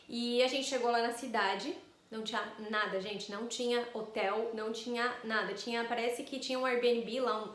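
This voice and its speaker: A woman's voice: a little high, reverberant. A Brazilian woman, an astrologer, telling a rambling travel story to camera in a casual, chatty way, with frequent tag questions.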